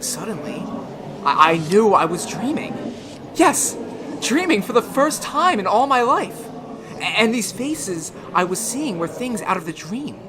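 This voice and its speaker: A man's voice: high voice, very animated, a little slow, noisy background. A man playing a tormented, anxious teacher in 1930s-style radio drama, with an intense, melodramatic delivery. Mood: haunted. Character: tormented male biology teacher, haunted and anxious.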